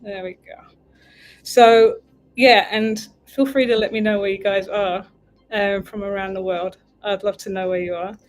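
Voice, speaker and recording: A woman's voice: medium pitch, fairly even intonation, a little slow. Chatty English woman, livestream host. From United Kingdom. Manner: chatty, meandering, often breaks off to fix the stream. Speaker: tarot reader and livestream host. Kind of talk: casual livestream chat.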